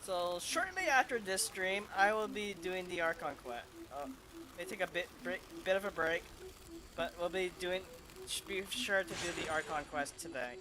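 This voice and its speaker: A man giving casual, halting livestream commentary as he plays a video game. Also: high-pitched. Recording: quiet voice under noisy game sound.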